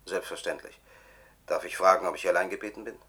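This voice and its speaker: An actor playing a cautious, courteous chief programmer, speaking formally in a 1960s German radio drama. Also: male voice, low voice, fairly even intonation, bright, forceful tone, husky, full character voice. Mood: courteous, wary. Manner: formal, measured, inquisitive.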